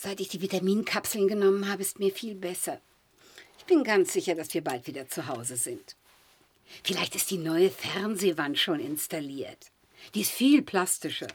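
A woman's voice: fairly low pitch, normal speed, husky. An actress playing a naive, dreamy, stranded wife and mother in a science-fiction radio drama, airy and earnest. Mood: brightly hopeful.

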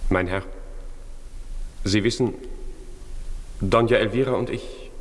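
An actor playing a dignified, composed nobleman in a 1950s German radio drama, speaking in a formal, measured, courteous way. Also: on the low side, steady tone. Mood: grave restraint. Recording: old radio recording with long reverb.

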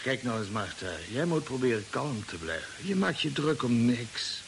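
An actor playing a sceptical, impatient husband in an isolated farmhouse, in old-fashioned radio drama style, with a down-to-earth, dismissive manner. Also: male voice, mid-range voice, big pitch swings, moderate pace, creaky, slight background noise. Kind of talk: 1950s radio drama acting.

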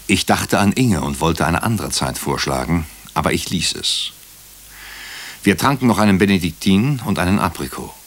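A man's voice: low-pitched, fairly bright tone, exaggerated voice. A man acting a doctor turned amateur sleuth in a radio drama, speaking with dry irony. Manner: dry, wry, ironic. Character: male doctor, wry amateur sleuth, narrating his own story. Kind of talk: radio drama dialogue and first-person narration.

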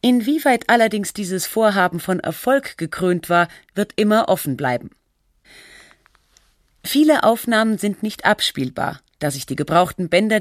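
A woman narrating a radio feature in a measured, factual and articulate scripted style. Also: mid-range voice, no room sound, clean studio sound, lightly acted.

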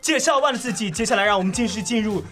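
A man's voice: high-pitched, very fast. A man who is a voice actor, bantering and announcing playfully and boisterously on a cast variety show. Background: music.

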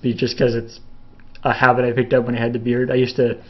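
A man's voice: mid-range voice, monotone, quick pace, highs cut off. A young man from Canada, chatting casually as a video host and answering viewer questions in a rambling way, with lots of fillers and restarts. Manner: rambling, many fillers and restarts, laughs a little. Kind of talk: casual live Q&A chat.

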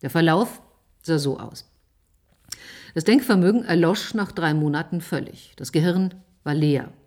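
An actress playing an assertive, methodical scientist in a radio drama, speaking formally, precisely and insistently. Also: female voice, low-pitched, measured pace, darkish tone. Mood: firm, explanatory. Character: assertive, methodical female scientist presenting her theory. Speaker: actor in a radio play. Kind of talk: German radio drama acting.

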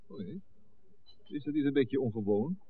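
A man's voice: medium pitch, animated, moderate pace, close to an everyday voice. A man acting a polite, inquisitive police inspector in a 1960s radio play, courteous and probing. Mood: politely curious. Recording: tinny, muffled old radio recording.

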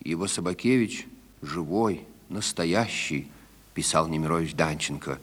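A man's voice: deep voice, unhurried. A man narrating a scripted literary programme about a classic performance.

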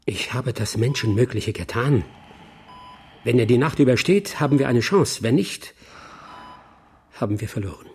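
An actor playing a brusque, rational surgeon-professor in a radio drama, with a clipped, authoritative manner. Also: male voice, medium pitch, lively intonation, moderate pace, pauses often, a little mellow, slightly rough. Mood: sober, worried.

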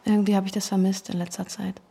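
A wistful wife in a radio play. Her voice is mellow and creaky, with little movement in pitch and a subdued, natural delivery. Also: little pitch movement, quick pace.

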